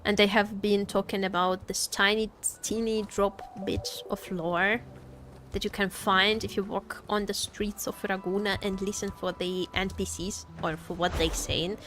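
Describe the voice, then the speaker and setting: A woman's voice: medium pitch, little pitch movement, measured pace, music in the background. A woman chatting casually as she livestreams a game, playfully repeating her phrases to viewers.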